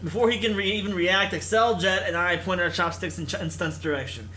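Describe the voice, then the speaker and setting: A man's voice: sits high, fairly quick, bright, forceful tone, a little hiss or hum. A man hosting a gaming video, reading narration expressively and mixing in casual commentary.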